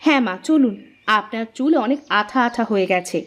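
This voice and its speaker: A jealous, scheming daughter-in-law from a cartoon family drama. She speaks in a high voice with an insinuating, wheedling manner, flattery laid over spite. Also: brisk. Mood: sweetly flattering.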